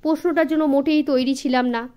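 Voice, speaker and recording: A woman's voice: sits high, fairly even intonation, fairly quick, a little mellow. A woman narrating a story expressively for children as an audiobook reader.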